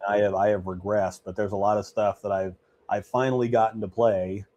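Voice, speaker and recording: A man in his mid-forties with a naturally deep voice talks in a loose, easygoing way. He rambles and restarts his sentences often. He is a guest chatting over a call. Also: flat delivery, brisk, darkish tone, dull audio. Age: 40s. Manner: rambling, self-correcting, easygoing. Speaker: guest bowling ball reviewer. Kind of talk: casual chat.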